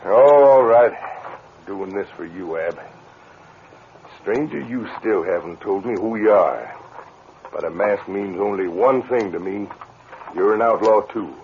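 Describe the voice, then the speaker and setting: Through a tinny, noisy old radio recording comes a gruff outlaw leader. He is bossy and suspicious, with a low voice in radio western style. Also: a little slow, long pauses. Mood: grudging. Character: tough, suspicious western outlaw gang boss. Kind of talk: 1940s-50s radio western acting.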